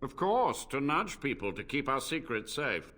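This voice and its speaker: An actor playing an ancient, unrepentant blood mage in a fantasy video game, speaking in a grave, formal, theatrical way. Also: male voice, mid-range voice, very animated, moderate pace, bright, forceful tone.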